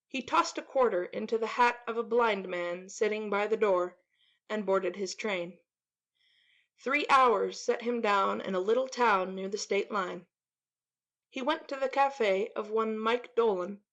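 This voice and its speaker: A woman's voice: medium pitch, dull audio. A woman reading a classic story aloud as an audiobook narrator, in scripted narration.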